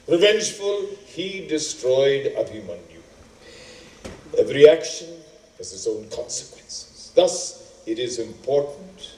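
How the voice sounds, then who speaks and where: Stage play: male actor as learned storyteller, wry and moralizing, soft mellow tone, distant echoey pickup. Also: medium pitch, natural voice. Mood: instructive. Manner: deliberate, emphatic, repeats phrases for effect. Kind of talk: theatrical storytelling of epic tales.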